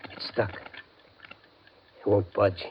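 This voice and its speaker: An actor playing a desperate, impulsive gambler in 1950s radio suspense style, agitated, halting and intense. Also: male voice. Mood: bewildered. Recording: muffled, hissy 1950s radio recording.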